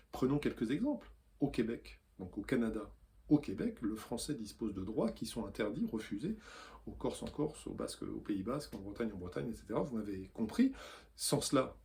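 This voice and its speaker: Earnest French-speaking man, mellow voice. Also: mid-range voice. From France. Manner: earnest, with frequent hesitations. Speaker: newsletter columnist. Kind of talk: opinion video commentary.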